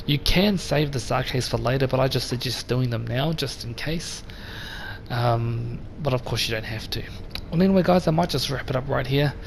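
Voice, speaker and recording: Brief: male gaming host, gravelly and a little croaky, relaxed chatty commentary, game noise underneath. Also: medium pitch, normal speed. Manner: relaxed and chatty, talks straight to viewers. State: slightly croaky voice. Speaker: let's play host. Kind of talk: casual gameplay commentary. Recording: voice over game sound noise.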